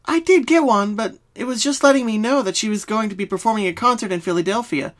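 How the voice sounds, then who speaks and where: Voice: mid-range voice, fast, pitched above the performer's own voice, natural voice. A performer voicing a young female pink pony, sweet and earnest, with a timid, hesitant manner, in a story reading.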